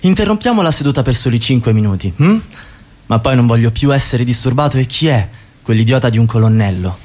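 A man's voice: mid-range voice, expressive, fast, darkish tone, close to an everyday voice. A man playing a commanding party leader in a radio drama, falsely genial and curtly giving orders. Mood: curt, impatient. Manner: authoritative, curtly instructing. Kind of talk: theatrical radio drama dialogue.

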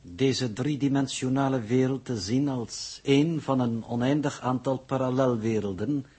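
A husky-voiced, coolly superior intellectual man played by an actor in a 1960s radio serial. He talks in stilted, pompous and exact sentences, condescending to everyone as he explains. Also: medium pitch, warm tone, lightly acted. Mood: lecturing. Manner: stilted, pompous, precise, deliberately formal. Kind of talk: 1960s radio play acting.

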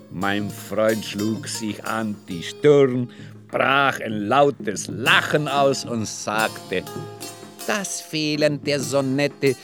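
An actor as a wry author addressing his audience in ornate, formal literary narration for a radio drama. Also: mid-range voice. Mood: ornate, amused. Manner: measured, formal.

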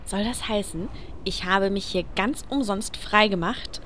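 A commanding, exasperated adventurer woman, played by an actress in a fantasy comedy radio play. She speaks slowly and with sarcasm, at an average pitch, over faint background noise. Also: a bit bright, natural voice. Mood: indignant. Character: bossy, exasperated female guild adventurer in a robe.

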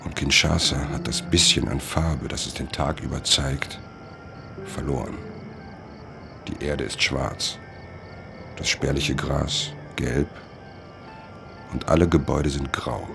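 A man playing a sombre traveller, narrating a literary radio drama in a measured, descriptive way. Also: very deep voice, steady tone, creaky, over music, exaggerated voice. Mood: sombre, observant.

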